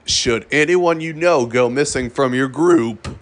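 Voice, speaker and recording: A man narrating a scripted emergency broadcast in a formal, solemn and deliberate manner. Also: mid-range voice. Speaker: emergency alert announcer.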